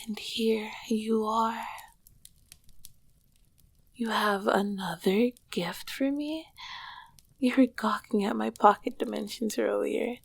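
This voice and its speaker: A woman voicing an ancient, lonely and affectionate succubus queen in an intimate ASMR roleplay, breathy and often slipping into a whisper, with doting, eloquent phrasing. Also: fairly high pitch, fairly even intonation, takes it slowly, pauses often, no echo, full character voice. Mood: tender.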